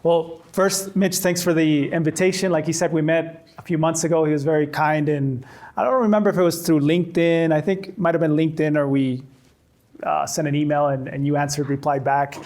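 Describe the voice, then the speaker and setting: A man's voice: fairly high pitch, fairly even intonation, a little fast, darkish tone. Chilean-born man leading a workshop. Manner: conversational, repeats words as he restarts. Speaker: storytelling workshop trainer. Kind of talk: workshop talk to faculty. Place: classroom with a live audience.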